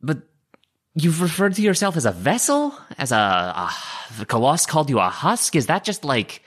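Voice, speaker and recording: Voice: mid-range voice, very animated, moderate pace. A tabletop player improvising casual in-character chat, with a teasing, questioning manner.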